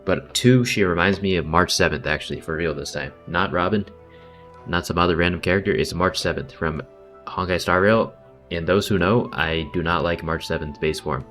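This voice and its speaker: A man's voice: deep voice, moderate pace, a bit bright, soft background music. A man giving casual, slangy, wry running commentary on a game stream. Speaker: livestreamer.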